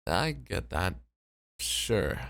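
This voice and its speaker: A man's voice: low-pitched, sing-song, drawn-out words, gravelly, a bit bright, no echo, exaggerated voice. A man voicing a conscientious, weary, self-doubting host in an ASMR roleplay, sincere and a little hesitant. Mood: earnest, uneasy. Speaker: voice actor.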